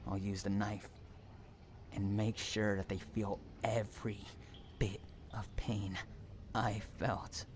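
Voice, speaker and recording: A man playing an obsessive, unhinged stalker and kidnapper in an intimate audio roleplay, taunting and swinging between soft coaxing and menace. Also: fairly low pitch, level tone, slow pace, a little hiss or hum. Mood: soft, threatening.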